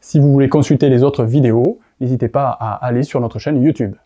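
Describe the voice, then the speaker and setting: A man's voice: mid-range voice, fairly even intonation, brisk, warm tone, slight background noise. A man with a French accent from France presents a scripted explainer in a formal, didactic way.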